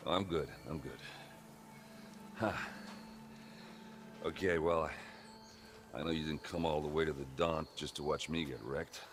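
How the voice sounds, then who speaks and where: A man acting a gruff, wisecracking battered warrior in a video game cutscene, loyal but sardonic and halting. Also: very deep voice, fairly even intonation, background noise. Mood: pained, joking.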